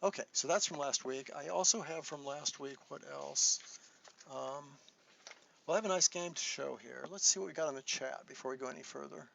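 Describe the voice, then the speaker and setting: A man teaching a live online chess lesson, soft-spoken and thinking aloud as he walks through moves, with frequent restarts. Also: on the high side, fairly quick, vocal fry, highs cut off, slight background noise. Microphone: desktop microphone.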